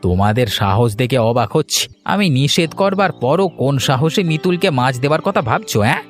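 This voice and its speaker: Stingy village patriarch for a cartoon, played by a man: domineering, scolding, medium pitch, faint music bed. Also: animated, a little fast, natural voice. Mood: irritated, stern. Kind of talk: Bengali cartoon voice acting.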